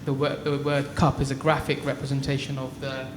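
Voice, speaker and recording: A man's voice: medium pitch, completely flat delivery, a little fast. A man, an artist, talking at a public panel, hesitant with many stumbling restarts. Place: art gallery talk before an audience. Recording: echoey gallery with background noise.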